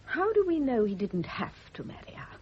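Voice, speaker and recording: An actress playing a bitter, righteous, suspicious nurse, indignant and insinuating, in a radio drama. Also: female voice, fairly high pitch, very animated, moderate pace, slight background noise. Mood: insinuating suspicion. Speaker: private nurse. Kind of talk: 1970s radio drama acting. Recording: dull lo-fi old radio recording.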